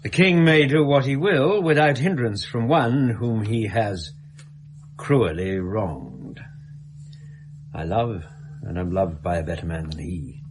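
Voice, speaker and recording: Loyal english sidekick, kinda low voice, earnest and careful, faint hiss, radio drama. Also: male voice, takes it slowly. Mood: solemn reading.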